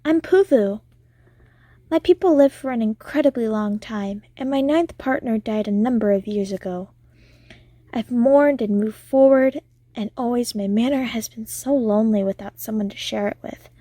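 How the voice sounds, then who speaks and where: A woman's voice: a little high, moderate pace, warm tone, dry recording, natural voice. A woman voicing a wealthy, long-lived alien widow, confident, poised and flirtatious, in an audio roleplay. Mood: confident, inviting. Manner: poised, self-assured, flirtatious.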